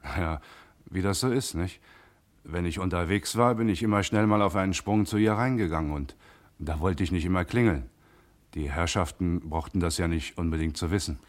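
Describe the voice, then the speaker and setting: A man's voice: deep voice, normal speed, close to an everyday voice. An actor playing an uneasy male car mechanic in a German radio crime drama, hesitant and hedging under questioning.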